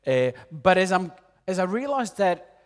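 A man in his late forties from Peru, preaching a sermon in an earnest way, rising loud on key points and dropping soft and low. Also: very animated, unhurried. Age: 40s. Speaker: pastor.

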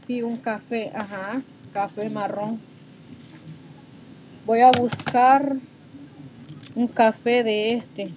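A woman's voice: on the high side, steady tone, very slow, pauses often. A woman with a Honduran Spanish accent chatting casually with viewers on a livestream. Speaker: livestreamer chatting with viewers while doing makeup. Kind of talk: casual chat reading and answering comments. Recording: muffled, noisy livestream audio.

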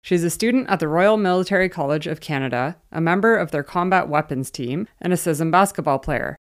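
A woman's voice: deep voice, brisk. A woman hosting an interview podcast, upbeat and eager, reading a scripted intro and then chatting with her guest. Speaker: podcast host.

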